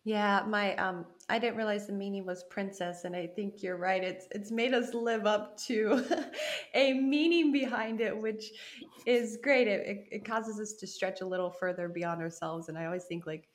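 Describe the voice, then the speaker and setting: A woman's voice: medium pitch, steady tone, moderate pace. A woman hosting a podcast interview, upbeat and effusive, laughing easily. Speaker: podcast host.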